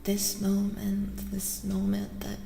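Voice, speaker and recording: A woman reads tarot in a slow, soft ASMR style, often slipping into a breathy whisper with a creaky edge. She repeats herself in a reassuring way, close to the mic, her voice trailing long reverb over music. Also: medium pitch, completely flat delivery. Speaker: ASMR host and tarot reader.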